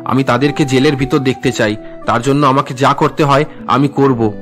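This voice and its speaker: A man's voice: medium pitch, fairly even intonation, normal speed, a bit bright, faint music behind, lightly acted. A man playing an earnest, wounded young husband and son in a cartoon drama, with dramatic questioning delivery. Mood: earnest, hurt.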